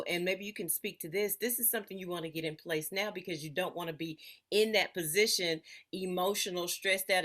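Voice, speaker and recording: A woman's voice: fairly low pitch, a bit bright. A woman hosting an interview, encouraging and affirming her guest. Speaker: interview host. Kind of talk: interview conversation.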